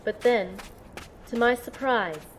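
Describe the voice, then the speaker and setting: A woman acts a tender-hearted young heroine in an audio drama. Her voice is fairly high, and she speaks slowly and earnestly as she tells her story. Faint noise sits behind her. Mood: somber, reflective. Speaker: narrator and lead character. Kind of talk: first-person audio drama narration and dialogue.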